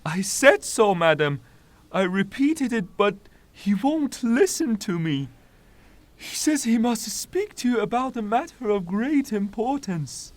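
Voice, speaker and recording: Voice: mid-range voice, sing-song, unhurried, no echo. A performer playing a flustered, deferential household servant in a theatrical audio drama, with a plaintive manner.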